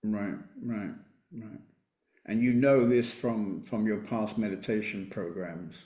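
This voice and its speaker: Calm man hosting a meditation call. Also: lowish voice, reverberant. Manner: calm, measured, affirming with repeated "right, right". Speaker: spiritual teacher hosting an online meditation. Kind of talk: relaxed group-meeting talk. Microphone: computer mic on a video call.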